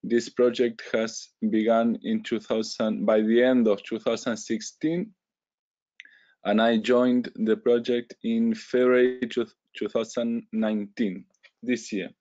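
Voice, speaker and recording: A man's voice: mid-range voice, steady tone, unhurried, warm tone. Hesitant man presenting on a call. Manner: careful, with hesitations and self-corrections. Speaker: webinar speaker. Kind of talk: formal presentation. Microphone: video call. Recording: dull lo-fi call audio.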